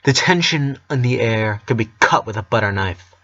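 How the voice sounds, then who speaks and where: A man reads a story aloud as narrator in a medium-pitched voice. He is expressive and sometimes stumbles and corrects himself. The recording is slightly dull and lo-fi. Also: moderate pace. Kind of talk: reading fan fiction aloud.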